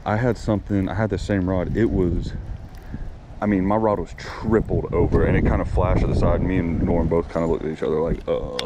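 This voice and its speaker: A young man with a drawl and a fairly low voice rambles to the camera as he fishes. His talk is casual and excitable. Loud wind and water noise from the outdoors sit behind him. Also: fairly quick. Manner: rambling, excitable, talks to himself during fights. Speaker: fishing vlogger. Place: outdoors at ponds, windy.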